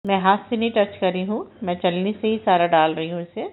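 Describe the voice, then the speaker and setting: Female cooking-channel host, calm and instructive, talks through every step as she cooks. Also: medium pitch, brisk, narrow, tinny audio. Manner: calm, instructive, narrates each action as she does it.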